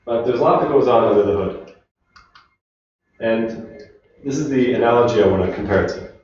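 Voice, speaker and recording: A man from Finland giving a relaxed technical talk to a meetup audience, chatting with the crowd. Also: medium pitch, moderate pace, slight background noise. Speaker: conference speaker. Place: large echoey meetup room. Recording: distant, roomy, dull room recording.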